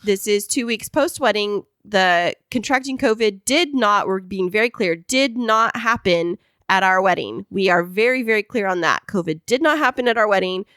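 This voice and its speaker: A woman co-hosting a podcast in casual chat, with a hoarse, raspy voice and a chatty, teasing manner. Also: medium pitch, moderate pace, a bit bright, no room sound, clean studio sound. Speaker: podcast co-host.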